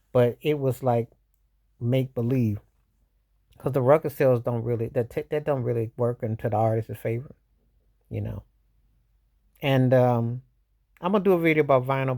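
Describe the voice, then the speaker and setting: A man's voice: medium pitch, a little mellow, no room sound, studio quality. A middle-aged American man giving a casual, opinionated monologue in a blunt, colloquial way, repeating himself and throwing out rhetorical questions. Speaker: vlogger giving commentary.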